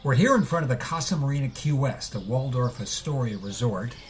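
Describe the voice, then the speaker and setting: An actor playing an earnest documentary podcast host, narrating on location in an audio drama. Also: male voice, mid-range voice, lively intonation, fairly quick, a little hiss or hum, natural voice. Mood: upbeat, informative.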